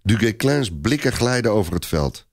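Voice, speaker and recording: Very animated male storyteller, lowish voice. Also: normal speed. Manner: theatrical, suspenseful. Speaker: narrator. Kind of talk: dramatic scripted storytelling.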